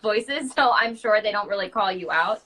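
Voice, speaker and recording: A woman's voice: mid-range voice, normal speed, pushed and bright. A woman in casual, chatty conversation as a guest on an online call, speaking agreeably. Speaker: guest, character party performer. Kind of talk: casual chat.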